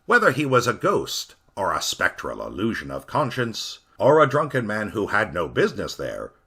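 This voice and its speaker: A man narrating a Victorian ghost story in a theatrical, expressive storyteller manner. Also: low-pitched, breathy edge.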